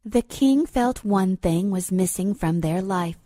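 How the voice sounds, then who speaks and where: A woman's voice: medium pitch, animated, a little slow, no room sound, clean studio sound. A woman storyteller giving a scripted, rhyming fairy-tale narration for children. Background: loud music with chimes.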